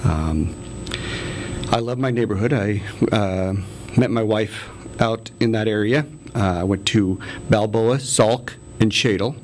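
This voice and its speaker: A man's voice: on the low side, measured pace, darkish tone, a little hiss or hum. A man in his forties being interviewed, talking in a friendly way with many ums and laughs. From United States. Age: 40s. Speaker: interview guest, city council candidate. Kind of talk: casual interview conversation.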